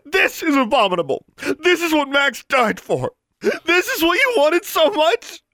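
Man voicing a high, shrill, defiant young girl. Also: very animated, unhurried, bright, forceful tone, dry recording, clean studio sound, full character voice. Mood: hysterical screaming. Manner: accusing, emotional, rising to shrieks. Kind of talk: dramatic audiobook character acting.

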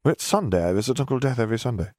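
A man's voice: medium pitch, animated, rapid-fire, a little mellow, no room sound, studio quality, voice pushed up, lightly acted. A man voicing a chatty, blunt, oddly morbid little girl in an audiobook. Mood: cheerfully morbid. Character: odd, matter-of-fact, blithely morbid little girl. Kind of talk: audiobook character voice.

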